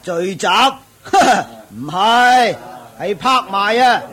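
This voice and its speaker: A man's voice: high-pitched, big pitch swings, drawn-out words, fairly bright tone, heavily acted. A man voicing a middle-aged auctioneer who chuckles and lectures in a knowing, chatty way in a radio play. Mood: amused.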